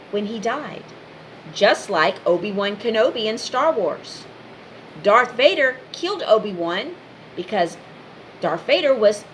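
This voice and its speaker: A woman's voice: on the high side, a little hiss or hum, rough recording. A woman giving an impassioned, informal monologue straight to the listener, speaking in emphatic, repetitive short phrases.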